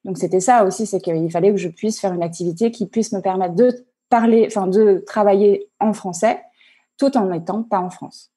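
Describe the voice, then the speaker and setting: A woman coach answering interview questions on a video call, halting and full of fillers and restarts. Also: medium pitch, dark tone, slightly rough. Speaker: online coach and entrepreneur.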